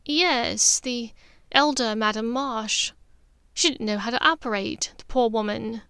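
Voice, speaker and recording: A woman voicing a warm, pleading older sister witch in a story, expressive and a little halting. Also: on the high side, smooth, dry recording, clean studio sound. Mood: hesitant.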